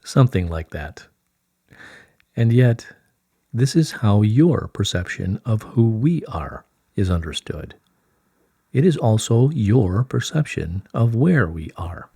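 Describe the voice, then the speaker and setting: Serene beings of light speak through a man reader in a low, slightly rough-grained voice. The phrasing is stately and formal, delivered as a solemn channelled message. The sound is close and dry. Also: sing-song, slow pace, dark tone, no room sound, full character voice. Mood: serene, explanatory.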